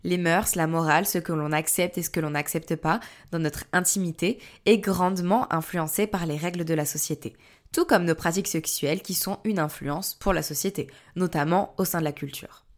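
Woman reading scripted narration. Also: on the low side. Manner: measured, essay-like reading.

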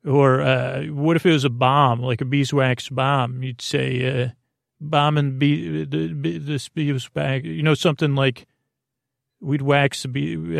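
A man hosting a bedtime podcast in a creaky voice, rambling in a soothing, halting, meandering way with casual friendly banter. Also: medium pitch, no echo, clean studio sound. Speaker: podcast host.

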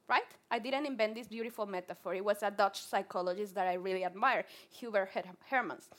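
A young woman from Colombia with a Colombian Spanish accent, a psychologist giving an earnest, animated public talk with frequent restarts. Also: high-pitched. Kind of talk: public talk to a live audience.

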